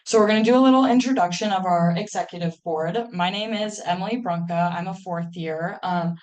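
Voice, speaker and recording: A woman's voice: fairly low pitch, brisk, darkish tone, rough recording. A college woman in her twenties from Ohio, giving an informal welcome talk as club president in a classroom, with frequent "um"s. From Ohio, USA. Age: 20s. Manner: friendly, informal, uses many "um"s.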